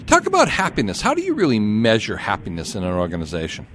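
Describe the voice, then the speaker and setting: A man hosting an interview show, introducing guests and asking them questions in a lively, sing-song way. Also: fairly low pitch, fast, slight background noise. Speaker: radio show host. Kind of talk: hosted business interview.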